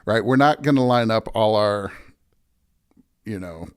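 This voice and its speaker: An American man with a fairly low voice, speaking as a pastor in a relaxed interview. He is reflective and halting, often searching for the right words. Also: smooth. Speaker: pastor, podcast guest. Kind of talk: casual reflective conversation.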